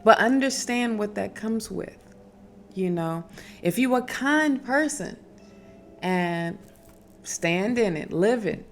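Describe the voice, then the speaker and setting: A woman hosting a casual spiritual advice talk, speaking emphatically with fillers and restarts and a strong, loud voice. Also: medium pitch, animated, slow, long pauses, soft background music. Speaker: spiritual wellness host.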